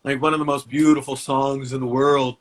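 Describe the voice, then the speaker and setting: A man chatting as a guest, loose and joking, trailing off into fillers and restarting his sentences. His pitch sits in an ordinary middle range. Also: little pitch movement, fairly quick. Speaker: guest performer. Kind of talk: casual interview chat.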